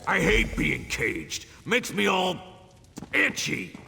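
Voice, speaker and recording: An actor playing a cocky, irritable captured guard in a fantasy video game, sardonic and wheedling in informal speech. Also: male voice, high-pitched, wildly swooping pitch, slow, gravelly, sharp tone, in a large hall, slight background noise, full character voice. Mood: irritable, relieved.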